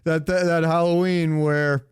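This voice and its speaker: A man with a smooth, slightly high voice chats as a podcast co-host. He tells joking anecdotes in loose, profane slang. The sound is close and dry, with no room echo. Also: little pitch movement, a little slow. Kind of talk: casual chat, comic storytelling.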